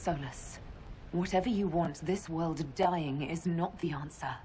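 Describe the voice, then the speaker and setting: An actress playing a steadfast heroic leader in a video game, questioning in a serious, direct way. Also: female voice, sits low, measured pace, dark tone, a little hiss or hum. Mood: concerned, firm. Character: female leader of an inquisition, probing, steadfast hero. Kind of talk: dramatic video game dialogue.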